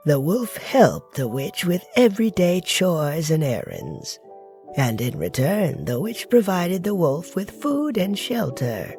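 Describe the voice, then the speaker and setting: An actor voices a calm storyteller from a comic dub, spinning a bedtime legend for children. The delivery is slow and measured, with very expressive rises and falls. Music plays behind the voice. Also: medium pitch, full character voice. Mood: gentle storytelling.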